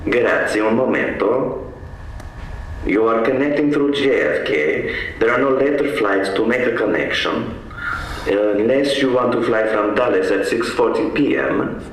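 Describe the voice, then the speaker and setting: A man's voice: mid-range voice, expressive, unhurried, lightly acted. A man playing a formal, obliging Italian airline phone agent in a live stage play. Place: indoor theater stage. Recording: very echoey, distant theater with noise.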